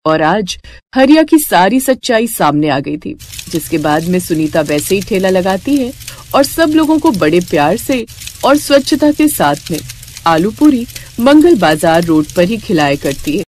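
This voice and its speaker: Woman narrator, scripted story, expressive, animated intonation, medium pitch. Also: a little slow, a little hiss or hum.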